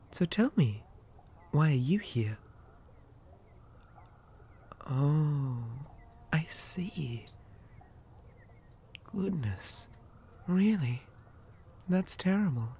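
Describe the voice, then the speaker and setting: Creepy living doll boy for ASMR horror male actor: mellow, husky half-whisper, falsely sweet, polite, lonely. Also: on the high side, heavily acted. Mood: politely curious. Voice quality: soft, breathy, often dropping to a whisper. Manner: gentle, unsettlingly polite, lilting childlike address. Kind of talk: ASMR roleplay.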